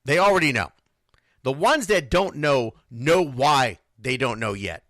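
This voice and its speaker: A man's voice: mid-range voice, expressive, a little slow, a bit bright, no echo, studio quality. A man hosting an unscripted sports talk show, answering listeners in a blunt, emphatic way and repeating phrases for stress. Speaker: sports radio show host.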